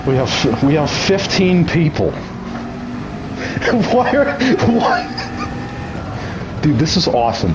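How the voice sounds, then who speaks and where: A man's voice: fairly high pitch, huge pitch swings, slow pace, pauses often, background noise. A young man chatting casually as a game streamer, nasal and ill, joking, laughing often and tripping over his words.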